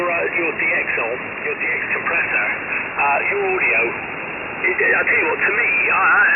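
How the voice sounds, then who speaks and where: Ham radio guy giving tips, keeps restarting, fairly high voice, tinny radio with lots of static. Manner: talkative, stammering restarts, giving advice. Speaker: amateur radio operator. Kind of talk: casual radio chat.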